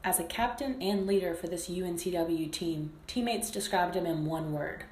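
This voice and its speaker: A woman's voice: lowish voice, moderate pace, a little mellow, slight background noise. Woman reading a sports feature. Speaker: reporter. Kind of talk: scripted sports narration.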